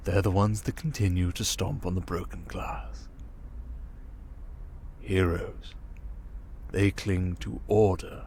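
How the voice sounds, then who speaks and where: A man playing a smug, manipulative criminal mastermind in an ASMR roleplay, calm and condescending, coaxing with endearments and soft breathy murmurs. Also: low-pitched, a little hiss or hum. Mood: persuasive, contemptuous. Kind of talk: ASMR roleplay monologue, dramatic.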